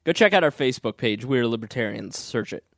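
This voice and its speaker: A man's voice: medium pitch, animated, fast talker, creaky, no echo. Man, young, phlegmy from a cold, casual podcast co-host, dry recording. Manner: laid-back, joking, reads news items aloud. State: getting over a cold, phlegmy. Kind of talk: casual chat.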